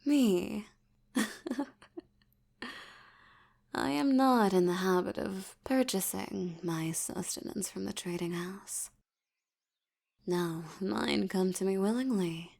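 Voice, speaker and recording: Female vampire elder, acted by a woman, audio roleplay: seductive, menacing, languid delivery, creaky mid-pitched voice sinking into breathiness. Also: darkish tone. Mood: amused, coy. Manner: slow, teasing, menacing, with lingering pauses. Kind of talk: intimate scripted audio roleplay.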